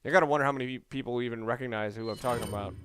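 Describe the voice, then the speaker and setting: A man's voice: medium pitch, racing, music in the background. A man giving off-the-cuff, rambling walkthrough commentary on a video game, instructive and often correcting himself. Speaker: gamer giving a let's play commentary. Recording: noisy, game gunfire behind. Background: video game ambience and gunfire.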